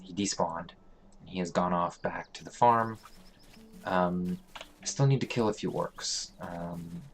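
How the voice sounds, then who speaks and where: A man hosting a let's play, talking casually through a game with a hoarse, congested voice and many fillers. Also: deep voice, slight background noise. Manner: relaxed, halting, thinking aloud with many fillers. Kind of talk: casual gameplay commentary.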